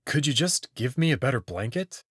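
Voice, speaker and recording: A young man as a visual novel hero, played by a male reader. He sounds informal, wary and questioning, with animated pitch and a dry, close sound. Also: normal speed, breathy edge, no echo. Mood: grumbling. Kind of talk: visual novel character reading.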